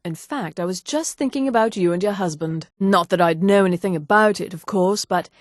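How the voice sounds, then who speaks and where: A woman voicing a haughty, refined high elf townswoman in a video game, with precise, polished, condescending delivery. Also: brisk, no room sound, clean studio sound, natural voice. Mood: smooth, confiding. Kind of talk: scripted game dialogue.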